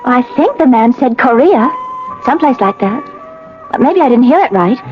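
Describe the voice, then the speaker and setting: High voiced spirited woman, swoopy delivery, muffled old radio play, music behind. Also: animated, normal speed. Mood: easygoing, fond. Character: spirited, direct woman, wife or sweetheart. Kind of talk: radio drama acting.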